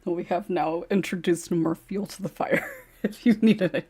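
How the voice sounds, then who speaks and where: A woman's voice: fairly low pitch, a little slow, warm tone, a little hiss or hum. A woman who writes ASMR roleplay scripts, chatting casually on a podcast with frequent laughs and fillers.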